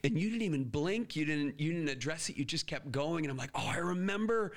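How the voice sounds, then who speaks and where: Animated young man hosting a podcast. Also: on the high side, a little fast. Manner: animated storyteller, acts out quotes. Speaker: podcast host. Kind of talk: casual podcast interview.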